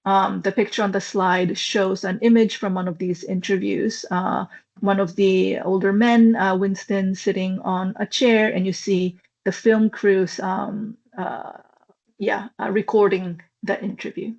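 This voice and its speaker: A woman presents her research in an online webinar, in a voice of middling pitch. She speaks thoughtfully and often pauses with ums and uhs. The call audio sounds a little dull and lo-fi. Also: little pitch movement, vocal fry, a little mellow. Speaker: webinar speaker, anthropology lecturer.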